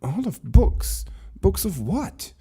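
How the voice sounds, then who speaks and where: Man acting a bewildered, frightened man, pitched up. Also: big pitch swings, warm tone, breathy edge, exaggerated voice. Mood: stunned, frantic. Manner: strained, halting, emotional, raised pitch. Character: bewildered, frightened man trapped in a mysterious library. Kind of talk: dramatized short story reading.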